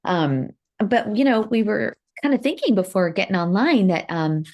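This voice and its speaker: A woman's voice: mid-range voice, lively intonation, normal speed, darkish tone. A middle-aged woman chatting casually as a podcast co-host, informal and affirming. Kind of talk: casual chat.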